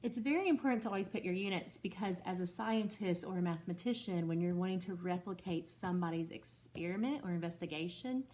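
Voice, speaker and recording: Expressive woman teacher reading aloud. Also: fairly low pitch, quick pace, hardly any pauses. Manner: expressive, teacherly, explains patiently to children. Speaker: second-grade teacher. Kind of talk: reading a picture book aloud to a class, with explanations.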